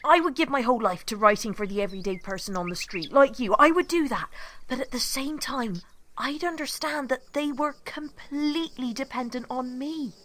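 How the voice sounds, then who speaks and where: An actress playing an earnest, passionate young dreamer in an audio drama. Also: female voice, high voice, lively intonation, normal speed, a bit bright. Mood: earnest admiration. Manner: breathless, earnest, intense. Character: starry-eyed, passionate young woman dreaming of fame. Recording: outdoor hiss with chirping insects.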